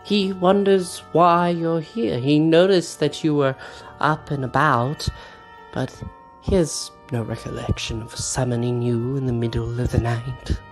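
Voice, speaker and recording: A woman narrates a roleplaying adventure as its game master. Her voice sits low and moves at a slow, deliberate pace, with theatrical suspense in the phrasing. Soft music plays behind her. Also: fairly even intonation. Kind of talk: dramatic tabletop roleplay narration. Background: background music.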